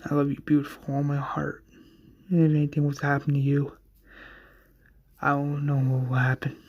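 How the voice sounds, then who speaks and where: A man playing a lovestruck, protective man in a told drama story, speaking softly and earnestly. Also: fairly high pitch, monotone, moderate pace, dull audio. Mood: loving.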